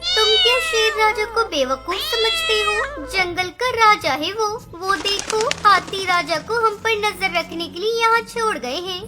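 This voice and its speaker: A woman's voice: very high voice, animated, a little slow. A woman voicing a clever, bold little mother bird in a children's cartoon story, emphatic and scolding. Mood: bluffing, scolding. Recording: music behind the voice.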